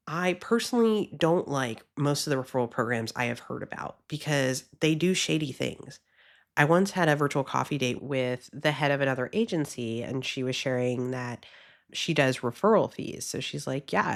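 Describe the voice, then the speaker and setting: A woman in her thirties talks through her podcast episode in an upbeat, chatty way. She sounds a little sniffly from a cold, speaking close to a podcast microphone. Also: sits low. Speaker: podcast host. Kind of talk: casual solo podcast talk.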